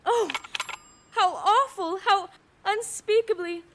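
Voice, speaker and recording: A very high female voice from an actress plays a fretful, domineering wife in a suspense radio play. She is melodramatic and insistent, with nerves always near the surface. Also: slow, a little hiss or hum. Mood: horrified. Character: nervous, imperious bedridden wife, alone and increasingly alarmed.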